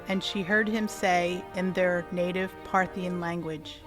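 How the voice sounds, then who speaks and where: A woman's voice: lowish voice, fairly even intonation, a little slow. A woman reading scripted dramatic narration as the narrator of a story. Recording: music behind the voice.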